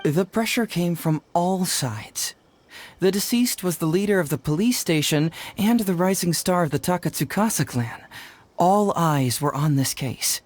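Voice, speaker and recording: An actor playing a confident, clever young male detective in a video game, smooth and glib, explaining things with wry ease. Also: sits high, expressive, unhurried, a little hiss or hum. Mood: wry, assured. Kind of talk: English video game voice acting. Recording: studio voice under game music. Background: game background music.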